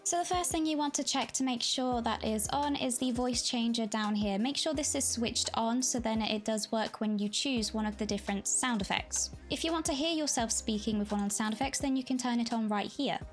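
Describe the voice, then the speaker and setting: High-voiced woman giving an upbeat tutorial. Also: brisk, hardly any pauses. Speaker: host of a software tutorial. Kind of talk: scripted tutorial narration with casual enthusiasm. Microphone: Blue Yeti desktop microphone. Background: background music.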